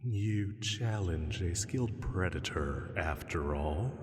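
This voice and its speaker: A man's voice: sits low, expressive, takes it slowly. A man playing a sly ancient dragon in an audio drama, condescending and menacing, drawing out his taunts theatrically. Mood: amused taunting. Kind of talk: scripted roleplay audio drama monologue. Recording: long cavernous reverb.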